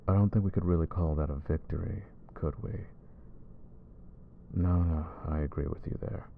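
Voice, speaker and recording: A man voicing a brooding male vampire who hunts his own kind, speaking low and measured in an ASMR roleplay. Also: steady tone, vocal fry, phone-quality sound, slight background noise. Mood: troubled.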